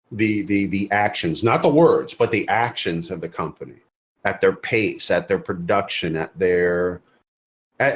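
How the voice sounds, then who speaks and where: A man co-hosting a casual podcast discussion, often repeating words as he searches for his phrasing. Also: fairly low pitch. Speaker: podcast co-host.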